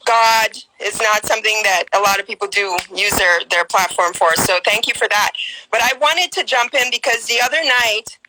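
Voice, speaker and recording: A woman's voice: medium pitch, moderate pace, noisy background. A woman in her forties with a Guyanese accent, sharing a personal story on a live stream call in an earnest, hesitant way with many ums and restarts. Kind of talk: casual personal testimony.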